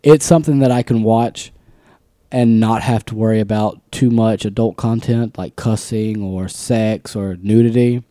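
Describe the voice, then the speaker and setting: Chatty mellow-voiced man in his twenties. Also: lowish voice, steady tone, measured pace, no echo, studio quality. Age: 20s. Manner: chatty, laughs often, acts out quotes. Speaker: podcast co-host. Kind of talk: casual chat.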